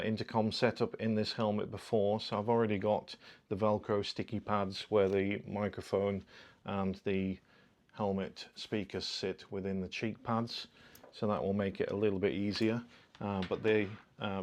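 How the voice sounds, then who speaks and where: Low-voiced man, casual demonstrator. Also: steady tone, moderate pace. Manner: informal, step-by-step explaining. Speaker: product review host. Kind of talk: casual unboxing and fitting demonstration.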